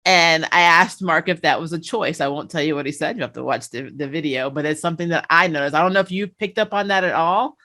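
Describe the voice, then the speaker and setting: Woman, slightly low pitch, casual review chat, animated storyteller, host. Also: quick pace, hardly any pauses. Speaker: talk show host and interviewer.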